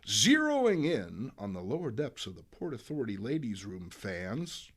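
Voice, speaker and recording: A man's voice: medium pitch, wildly swooping pitch, measured pace, breathy edge. A man giving a theatrical, tongue-in-cheek dramatic reading of a comic book, with wry asides. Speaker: host, comic book reader.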